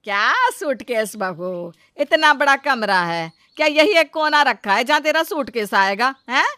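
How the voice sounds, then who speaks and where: Woman doing a bossy sarcastic indian mother-in-law, bright cutting voice, kinda high, comic family drama. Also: expressive, unhurried, dry recording, studio quality, heavily acted. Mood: brusque.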